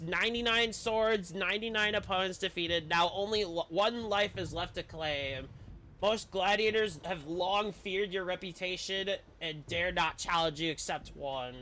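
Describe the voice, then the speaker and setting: A man's voice: high voice, bright, forceful tone, slight background noise. A man streaming a game, reading the story text aloud with casual commentary and the odd stumble over words.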